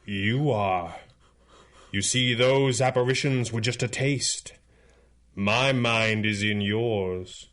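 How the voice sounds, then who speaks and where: An actor playing an implacable masked hero with mind powers in a radio superhero drama, speaking gravely with deliberate menace. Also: male voice, on the low side, a bit bright. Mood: cold, commanding. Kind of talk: 1930s-set radio superhero drama acting.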